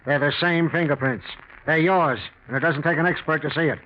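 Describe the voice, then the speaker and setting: Male actor as confident American detective, 1940s radio play. Clipped, pointed questioning, slightly high voice, dull vintage sound. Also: fairly quick, lightly acted. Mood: pointed, sure. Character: brisk, confident male police detective booking a suspect. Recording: muffled, faintly noisy 1940s radio recording.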